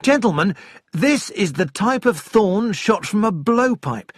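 A man voicing an excitable, knowing gentleman in an audiobook, with a dramatic, exclamatory manner. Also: high voice, unhurried, no room sound, studio quality, voice pushed up. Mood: amazed, excited.

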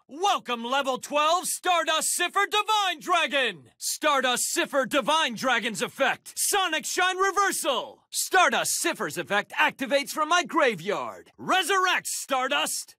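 A man's voice: very high-pitched, sing-song, bright, forceful tone, no echo, clean studio sound, exaggerated voice. An actor playing a heroic, determined young male card duelist in an anime video game, with intense, dramatic declarations. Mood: fierce, triumphant. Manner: intense, dramatic declarations, drawn-out attack calls.

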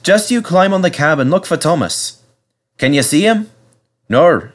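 A man plays a brisk male railwayman in a children's storybook. The voice is slightly raised, lively and varied in pitch. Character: brisk railwaymen: driver, fireman and guard.

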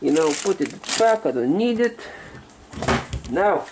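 A man's voice: high voice, sing-song, unhurried, darkish tone. A young man playing a cheeky, foul-mouthed Slavic persona with a put-on Russian accent and broken English, rambling casually as he vlogs. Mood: mock-serious. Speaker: vlogger. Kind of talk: casual comedic vlog. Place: small home kitchen indoors. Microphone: handheld camera at arm's length. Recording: noisy handheld camera with clicks.

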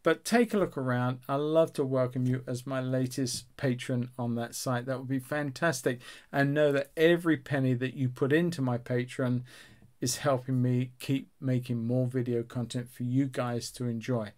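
A man's voice: mid-range voice, fairly even intonation, normal speed, a little mellow. A man in his sixties speaks in a mid-range voice as the host of a painting tutorial. His delivery is casual and chatty, addressing viewers directly in an encouraging, instructional way. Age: 60s.

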